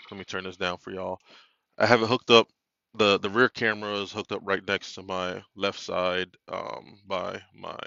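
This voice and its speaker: Man, low monotone voice, gravelly fry, bright edge, rambling casual walkthrough, inside a truck cab, handheld phone, dry muffled audio. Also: no room sound. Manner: rambling, filler-heavy, self-correcting. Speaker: truck driver reviewing a dash cam. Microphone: phone held while filming the dashboard.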